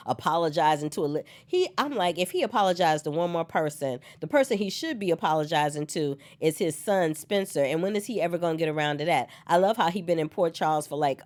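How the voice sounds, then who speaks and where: A woman hosting an online soap opera recap on her own, slightly hoarse from a cold, animated and chatty and laughing often. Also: low voice. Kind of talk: solo casual recap chat.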